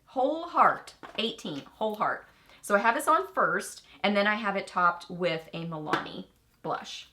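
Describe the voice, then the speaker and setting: A woman with a Texan accent chatting through a casual makeup review, bubbly and easily excited, with rambling asides. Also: medium pitch, unhurried, slight background noise. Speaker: beauty vlogger.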